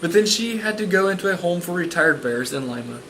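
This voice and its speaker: Man reading as a small, well-mannered storybook bear, earnest and a touch high; faint background hiss. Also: expressive, a little fast, sharp tone, heavily acted. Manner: earnest, polite.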